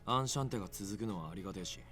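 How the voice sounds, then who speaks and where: An actor playing a grumpy, hot-tempered young man with rough, blunt speech in a visual novel. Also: low-pitched, very fast, fairly bright tone, soft background music. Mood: grudging. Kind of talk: Japanese visual novel voice acting.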